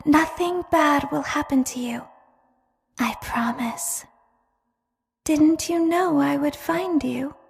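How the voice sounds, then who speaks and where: A woman voicing an eerie, childlike animatronic clown robot in a horror game, soft-spoken and deliberate, with calm menace. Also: high voice, vocal fry, exaggerated voice. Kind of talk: scripted horror game voice acting. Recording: echoey long hall reverb.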